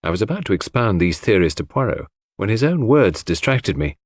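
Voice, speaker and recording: A man's voice: lowish voice, sing-song, a little fast, dry recording, studio quality. A man reading scripted literary fiction as a dramatic audiobook narrator.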